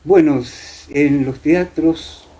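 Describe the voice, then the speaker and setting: An older man with an Argentine accent from Entre Ríos, reminiscing as a guest and often pausing to find his words. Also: on the high side, mellow. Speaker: interview guest. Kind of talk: reminiscing in conversation. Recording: clear background noise.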